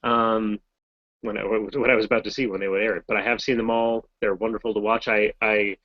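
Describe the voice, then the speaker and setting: A man with a fairly low voice, chatting loosely and poking fun at himself as he answers fan questions. The sound is dry and dull, like audio from an online video call. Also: level tone, fairly quick, no room sound. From Massachusetts, US. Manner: chatty, rambling, self-deprecating. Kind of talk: casual Q&A chat.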